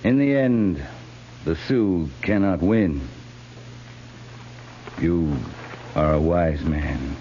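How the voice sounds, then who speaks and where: An actor playing a steady, dry cavalry captain in a 1950s radio western drama. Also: male voice, deep voice, very slow, pauses often. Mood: grave persuasion. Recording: muffled, hissy 1950s radio recording.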